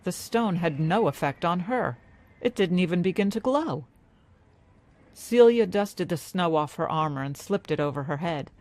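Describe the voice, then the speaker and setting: A woman storyteller reading scripted fantasy narration in a measured, dramatic way. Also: on the low side, expressive, a little mellow. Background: music.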